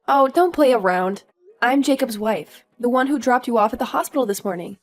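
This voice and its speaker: A woman's voice: a little high, lively intonation, fairly quick, faint music behind, lightly acted. A woman playing an earnest young daughter-in-law who is polite but firm, in a scripted audio story. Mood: friendly, puzzled. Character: earnest, indignant young daughter-in-law standing her ground.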